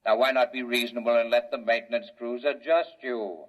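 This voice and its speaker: A man playing a calm, menacing male scientist villain in a 1950s radio drama, old dull recording. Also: medium pitch, a little fast, natural voice. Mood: condescending.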